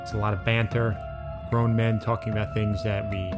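A man's voice: fairly low pitch, little pitch movement, moderate pace, loud music behind the voice. A man co-hosting a casual podcast, making dry, skeptical remarks. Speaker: podcast co-host. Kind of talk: casual banter.

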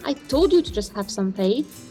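A woman's voice: medium pitch, animated, normal speed, dark tone, faint music behind, lightly acted. An actress playing an earnest, optimistic and fretful young woman in a naturalistic audio drama. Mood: hopeful. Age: young adult. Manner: eager, questioning.

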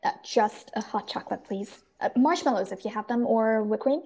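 An actress playing a sheepish young woman with a halting, hesitant manner in an audio drama. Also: fairly high pitch, dark tone. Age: young adult. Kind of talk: naturalistic audio drama acting.

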